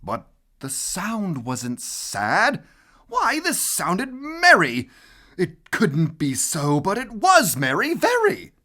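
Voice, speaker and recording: Guy reading a kids book out loud, very dramatic, unhurried, voice swoops up and down. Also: high-pitched, huge pitch swings. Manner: theatrical, emphatic. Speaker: storybook narrator. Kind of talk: dramatic children's read-aloud.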